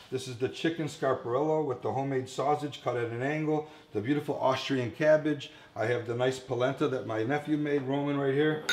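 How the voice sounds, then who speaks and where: A man in his fifties hosting a casual cooking demonstration, chatting informally to viewers at home. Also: medium pitch. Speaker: chef hosting a cooking show. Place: commercial-style kitchen, indoors. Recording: echoey room, some background noise, kitchen clatter.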